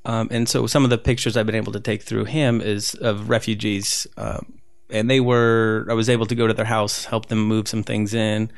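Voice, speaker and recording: Interview guest: low, gravelly man from Southern California, rambling, fillers, dry close sound. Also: little pitch movement, normal speed, no echo. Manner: rambling, many fillers and restarts. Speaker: street photographer guest. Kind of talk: casual interview conversation.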